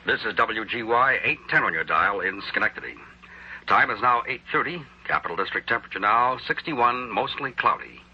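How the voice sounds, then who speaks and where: Male 1960s radio station announcer, medium pitch, dull AM sound with faint noise. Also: animated, normal speed.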